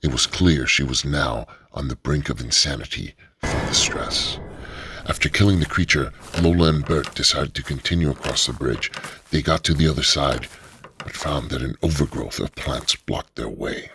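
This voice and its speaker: A man narrating a radio drama in a steady, low storytelling delivery. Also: normal speed, a little hiss or hum.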